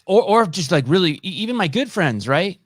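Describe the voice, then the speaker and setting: A man from California hosting a podcast in casual conversation, telling animated stories and swearing freely. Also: sits high, brisk, no room sound, clean studio sound. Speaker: podcast host.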